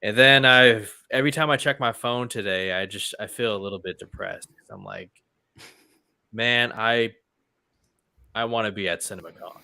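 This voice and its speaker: A man's voice: on the low side, normal speed, gravelly, bright, forceful tone, smooth, no echo. A man on a movie panel talking casually, with an informal, emphatic way of speaking. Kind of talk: casual chat.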